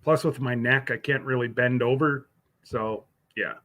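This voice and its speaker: Slow, rambling man chatting with viewers. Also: mid-range voice, fairly even intonation, fairly bright tone. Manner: rambling, halting, many fillers and restarts; addresses viewers by name. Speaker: livestream host. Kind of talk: casual chat answering live viewers.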